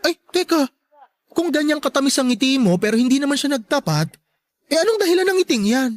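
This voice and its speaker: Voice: sits high, big pitch swings, a little fast. An actor playing a playful, teasing, flamboyant best friend in a radio drama, with sassy Filipino delivery and theatrical exclamations. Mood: surprised, dramatic.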